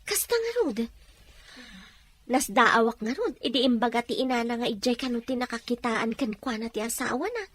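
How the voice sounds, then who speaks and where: High-voiced, surprised gossiping friend. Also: female voice, lively intonation, moderate pace, a bit bright, slight background noise. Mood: surprised, gossipy. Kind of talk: conversational radio drama acting.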